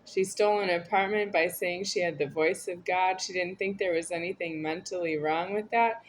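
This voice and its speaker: A woman's voice: fairly low pitch, a little hiss or hum, rough recording. A woman talking to camera in a meandering, repetitive, earnest monologue. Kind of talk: rambling spoken monologue to camera.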